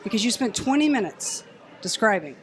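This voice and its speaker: A woman bantering casually on a podcast panel, deliberate and teasing, with dramatic pauses. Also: fairly high pitch, big pitch swings, a faint crowd, slight background noise.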